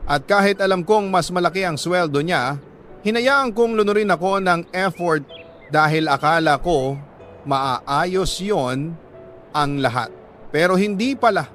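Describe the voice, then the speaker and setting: A Filipino man reading a listener's letter as a radio host, in solemn, sentimental dramatic narration with deliberate pauses. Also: sits high, lively intonation, a bit bright, soft background music. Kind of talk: dramatic scripted narration of a confessional love letter.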